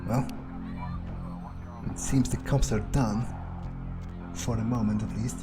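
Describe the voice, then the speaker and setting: A man plays a game in character, thinking aloud in a medium-pitched voice. His commentary is casual, dry and understated. Faint music runs behind him. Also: steady tone, slow pace. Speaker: gamer playing in character.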